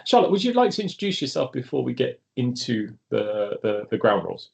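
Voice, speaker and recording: A man's voice: mid-range voice, big pitch swings, brisk, gravelly. Earnest man hosting a webinar. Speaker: webinar host, organization founder. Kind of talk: live webinar introduction.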